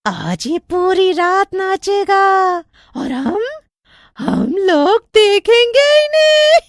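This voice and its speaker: A woman voicing a gleeful group of female witch ghosts in a very high, shrill, gloating voice. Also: very animated, drawn-out words, no echo, studio quality, heavily acted.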